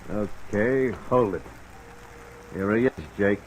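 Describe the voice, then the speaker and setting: A man's voice: lowish voice, takes it slowly. An actor playing a threatening, slangy gangster's henchman in an old radio crime drama. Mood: menacing. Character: menacing male thug, henchman with a gun. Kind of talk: 1950s radio crime acting. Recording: noisy old radio recording, steady hiss.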